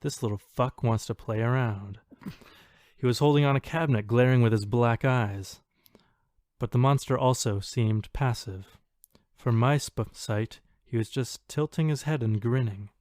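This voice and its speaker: A man's voice: mid-range voice, measured pace, a little mellow, no room sound, studio quality, natural voice. A man voicing a frightened storyteller, dramatically and emphatically reciting a ghost encounter for a podcast. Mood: dramatic, emphatic.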